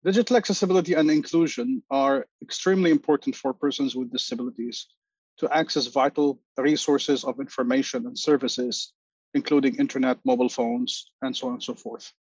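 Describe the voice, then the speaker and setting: Husky-voiced man in his forties, formal. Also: medium pitch, brisk, darkish tone, no room sound, highs cut off. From Lebanon. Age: 40s. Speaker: panel speaker. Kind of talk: prepared talk on a video call.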